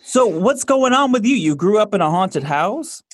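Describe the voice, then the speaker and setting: A man's voice: high voice, very animated, normal speed. A man hosting a podcast, chatting casually with a caller and asking questions. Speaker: podcast host.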